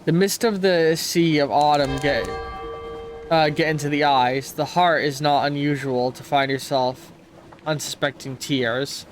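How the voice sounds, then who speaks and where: A man's voice: fairly high pitch, steady tone, a little slow. A man with a stuffy nose streaming a game, reading its text aloud and stumbling over words, with bursts of playful trash talk. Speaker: let's play streamer. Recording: faint game music behind the voice.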